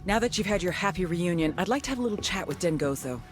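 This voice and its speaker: An actress playing a ruthless, sardonic American intelligence agent in a video game cutscene, threatening and profane. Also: female voice, fairly low pitch, animated, quick pace, music in the background, lightly acted. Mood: cool, pressing.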